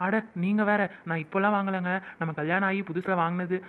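Emotional young wife, played by a man: high, rapid, prayerful; lo-fi phone-like audio. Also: fairly even intonation, natural voice. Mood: coaxing. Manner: pleading, prayerful, weepy with joy. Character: young hopeful wife, newly pregnant, emotional. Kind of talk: home-made skit acting. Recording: tinny phone-like sound.

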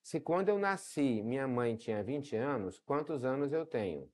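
A man's voice: mid-range voice, sing-song, moderate pace, darkish tone. A man with a Brazilian accent teaching a math video lesson step by step, often posing questions to check understanding. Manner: didactic, asks rhetorical questions and checks in.

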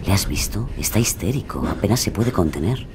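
An actor playing an ominous, taunting inner voice in a horror audio drama, speaking with insinuating menace. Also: male voice, sits low, animated, a little fast, a little hiss or hum. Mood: warning. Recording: echoey, loud music behind.

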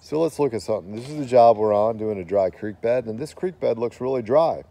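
A man's voice: medium pitch, brisk, mellow. A man, a landscaping contractor, giving a plainspoken, folksy walk-through explanation outdoors in a wooded backyard, filming himself on a phone. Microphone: phone camera held by speaker.

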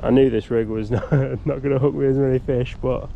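A young man talks to his camera in a chatty, upbeat way. His voice has a gravelly edge, and a faint hiss of wind and outdoors sits behind him on the action-cam recording. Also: mid-range voice, steady tone, a little fast, highs cut off. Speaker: fishing vlogger. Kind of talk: casual outdoor commentary. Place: windy riverbank outdoors. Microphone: action camera on body or tripod.

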